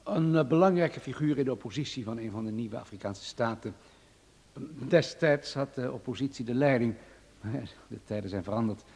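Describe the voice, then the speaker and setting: A wealthy, domineering boss in an old radio drama. His husky, middle-pitched voice is gruff and imperious, that of an irascible man used to command. The sound has a long reverb. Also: moderate pace, darkish tone. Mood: measured. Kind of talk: Dutch radio drama acting.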